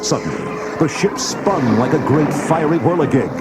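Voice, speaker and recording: A man's voice: fairly high pitch, big pitch swings, moderate pace. A man giving scripted, dramatic narration for a storybook read-along. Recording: loud music and effects behind.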